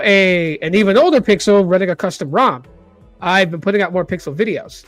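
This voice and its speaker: Man with a light, high voice. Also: no echo. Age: 40s. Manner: rambling, repeats words, frequent fillers. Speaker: tech channel host. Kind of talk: casual vlog talk.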